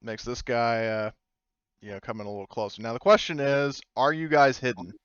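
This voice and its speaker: A man's voice: mid-range voice, steady tone, brisk, clean tone, no echo. A man running a tabletop game as game master, trailing off with fillers and laughing often. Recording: dull lo-fi dry sound.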